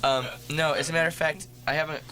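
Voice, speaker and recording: A man with a bright, forward voice, talking as a guest musician in a campus radio studio. He rambles through his answers with a joking, wry tone, and a faint hum sits behind him. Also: on the high side, little pitch movement, fast talker. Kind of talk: casual radio interview.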